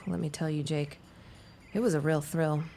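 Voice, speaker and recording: A stubborn, quick-tongued young woman of a period radio drama. Her voice sits on the low side with plenty of fry, and her lines come out dry and sardonic. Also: steady tone, warm tone, slight background noise. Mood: exasperated, sardonic. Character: wry, stubborn young shopkeeper's daughter and out-of-work teacher. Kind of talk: period radio-style audio drama acting.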